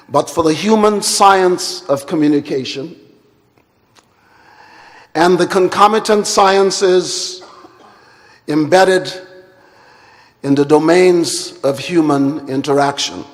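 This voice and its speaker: A man from Guyana, giving a formal and deliberate plenary address at a conference. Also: high voice. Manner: measured, deliberate and formal, with long pauses. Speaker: conference speaker. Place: large conference hall. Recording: hall reverb.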